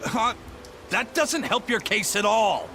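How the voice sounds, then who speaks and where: Um ator interpretando um capitão pirata severo e orgulhoso, de fala firme e professoral. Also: male voice, high voice, animated, takes it slowly, pushed and bright, faint music behind, full character voice. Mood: indignant. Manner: stern, lecturing. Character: stern proud male pirate captain. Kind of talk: game dub acting.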